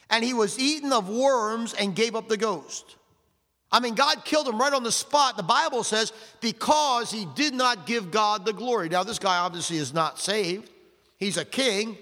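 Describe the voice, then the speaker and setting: An older man preaching a sermon as pastor, with fervent emphasis, slowing down to stress scripture and putting questions to the congregation. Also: sits high, bright, forceful tone. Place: church sanctuary. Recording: long reverb of a large hall.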